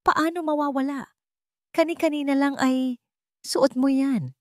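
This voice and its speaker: A woman's voice: high-pitched, fairly even intonation, a little slow, no room sound, clean studio sound, pitched above the performer's own voice. Haughty rich matriarch for audio storybook, played by a woman: older, suspicious, bossy and accusing. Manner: accusing, commanding.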